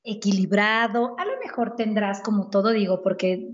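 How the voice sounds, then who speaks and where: A woman hosting a casual talk about health, easygoing and quick with agreeing murmurs. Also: medium pitch. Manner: conversational, laughing asides, frequent "ujú" backchannels. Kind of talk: casual wellness chat. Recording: dull lo-fi audio.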